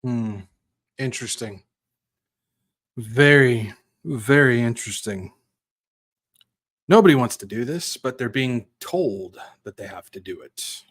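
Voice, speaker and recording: Slow-talking man hosting a commentary show. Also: medium pitch, animated, a bit bright, husky. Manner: musing, repeats points for emphasis, rhetorical questions. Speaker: host. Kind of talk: conspiracy commentary over played clips.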